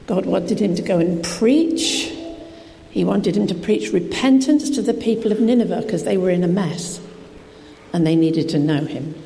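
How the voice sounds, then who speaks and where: A woman preacher with a croaky voice, giving a chatty, informal sermon into a PA microphone in a church hall, with self-deprecating asides. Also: medium pitch, warm tone. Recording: reverberant hall with faint noise.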